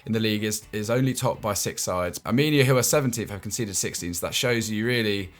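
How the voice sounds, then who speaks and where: A man's voice: lowish voice, fast. A man hosting a casual football analysis chat, often restarting his words and chuckling between points. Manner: stammering restarts and chuckling asides. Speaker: football show host.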